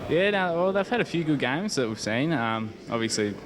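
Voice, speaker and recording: A man's voice: on the high side, expressive, fairly quick, a crowd in the background. A young man with an Australian accent giving casual, hesitant, rambling answers as an interviewed athlete. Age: young adult. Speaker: Australian rules footballer being interviewed.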